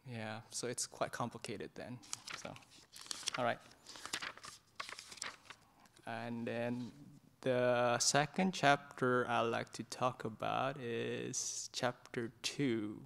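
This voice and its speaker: A man's voice: medium pitch, flat delivery, takes it slowly, creaky, long pauses, a little hiss or hum. A middle-aged man from Indonesia, a Buddhist monk, leading an informal book discussion with many fillers and restarts, speaking into a stand microphone in a meditation hall. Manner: hesitant, many fillers and restarts, gentle and soft-spoken. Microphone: stand microphone in front of his chair.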